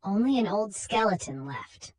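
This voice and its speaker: A woman voicing an excitable, blunt male cop in a storybook reading, with exclamatory delivery. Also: mid-range voice, moderate pace, breathy edge. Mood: startled, perplexed.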